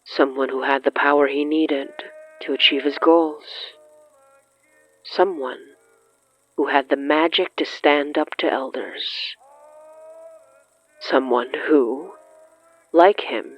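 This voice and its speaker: Slow, deliberate storyteller over faint music. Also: mid-range voice, steady tone, dull audio. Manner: measured, deliberate, with dramatic pauses. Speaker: narrator of an audio fiction podcast. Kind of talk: scripted dramatic horror narration.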